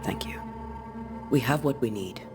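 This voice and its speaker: A man's voice: mid-range voice, expressive, unhurried, over music, close to an everyday voice. A man playing a blunt, weary, wry ghost hunter in a video game, with terse, dry delivery. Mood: dry, skeptical. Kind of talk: dramatic video game cutscene acting.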